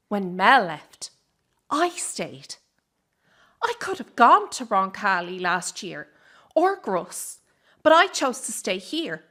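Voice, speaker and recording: Woman acting angry young circus girl in a radio drama, sarky and sweary, sharp voice that moves up and down a lot. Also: mid-range voice, exaggerated voice. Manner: confrontational, sarcastic, sweary. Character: bitter, defiant young circus woman, wounded daughter. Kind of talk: dramatic radio play dialogue.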